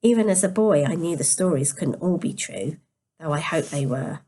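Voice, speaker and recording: A woman narrates a novel in a slightly low register. Her voice is quiet and tired, as if sleepy. She reads carefully, now and then tripping on a word and picking it up again. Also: animated, moderate pace. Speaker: storyteller reading a novel aloud. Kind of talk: scripted story narration.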